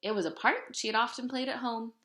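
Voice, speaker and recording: A woman reading a children's picture book aloud in an expressive, dramatic storytelling manner. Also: fairly high pitch, fairly quick. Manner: animated, dramatic storytelling delivery. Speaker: storybook reader. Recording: roomy, echoing room sound.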